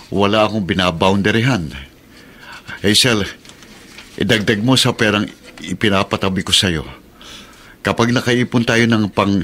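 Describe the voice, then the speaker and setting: A man's voice: lowish voice, sharp tone, slight background noise. An actor playing a resolute, middle-aged father in a radio drama, speaking in an earnest, reassuring way. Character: resolute one-legged father driving a pedicab.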